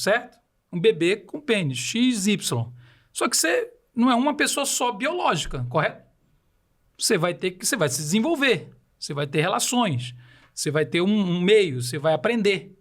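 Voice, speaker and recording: A man from Belém do Pará with a Paraense accent, explaining ideas on a podcast and asking rhetorical questions as he goes. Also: sits high. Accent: Paraense Brazilian Portuguese. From Belém do Pará, Brazil. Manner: didactic, uses rhetorical questions. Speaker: podcast guest.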